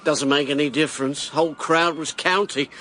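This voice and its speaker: An actor playing a brash, cynical war hero turned election candidate in a radio drama, British, with a blunt, punchy delivery. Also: male voice, a little high, fairly even intonation, normal speed, fairly bright tone, a little hiss or hum, close to an everyday voice.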